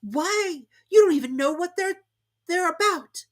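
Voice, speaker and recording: A woman's voice: very high voice, expressive, unhurried, pitched above the performer's own voice. A woman voicing a curious, prim young girl who argues matter-of-factly. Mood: indignant.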